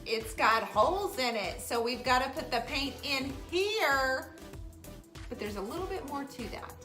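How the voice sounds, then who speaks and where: An American woman with a fairly high voice presents a children's craft demonstration. She explains each step casually and banters playfully. Soft music runs underneath. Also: animated, measured pace. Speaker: host of a children's puppet craft show.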